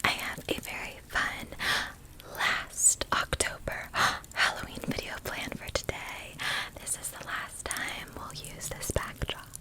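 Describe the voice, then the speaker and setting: Female ASMR host, breathy whisper, unhurried, playful rhythmic repetition. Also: pushed and bright, rough recording. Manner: playful, repeats words and phrases rhythmically. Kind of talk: ASMR, whispered chat to viewers.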